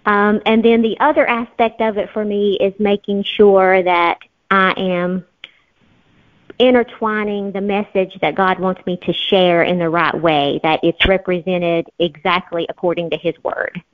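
A woman's voice: mid-range voice, fairly even intonation, measured pace. A woman speaking as an interview guest, chatting casually about her work. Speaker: podcast guest, fiction author. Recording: tinny phone-like call audio.

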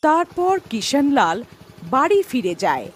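Woman narrating a cartoon tale, high lively voice, music behind her. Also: a little slow, breathy edge. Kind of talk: scripted cartoon story narration.

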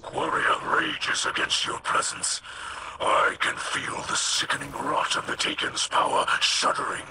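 A man voicing a solemn, devout alien warrior-mystic in a video game, with a breathy, gravelly whispering growl, speaking reverently. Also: mid-range voice, pushed and bright, a little hiss or hum, poor audio. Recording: music behind the voice.

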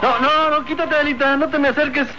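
Very high, whiny Mexican country sidekick. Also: male voice, fairly even intonation, fast talker, pushed and bright, faint music behind. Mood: indignant. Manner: whining, repetitive protests. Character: excitable, whiny young country sidekick, comic and loud-mouthed. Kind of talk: old radio soap opera acting. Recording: dull, noisy old radio serial recording.

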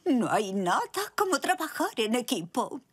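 A woman's voice: a little high, big pitch swings, moderate pace, husky. A woman voicing a kindly, cheery, helpful zebra grandmother in a children's cartoon dub.

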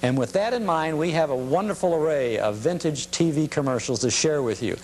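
A man's voice: on the high side, fairly quick. A man hosting a nostalgia program, talking informally and somewhat hesitantly as he presents. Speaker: host of a nostalgia show. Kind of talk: informal presenting to camera or audience. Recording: hum and steady background noise.

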